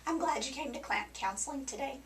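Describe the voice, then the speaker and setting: A woman counselor with a scratchy, hoarse voice, explaining things gently and patiently to a client in a counseling room. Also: high-pitched, quick pace. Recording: distant mic with faint background noise.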